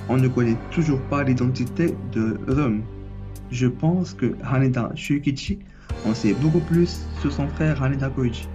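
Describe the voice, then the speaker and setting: A man with a slight cold hosts his own theory video. He reads a prepared script at an ordinary pitch and stumbles now and then. Clear music runs under the voice the whole time. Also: highs cut off. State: has a cold. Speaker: anime and manga YouTuber.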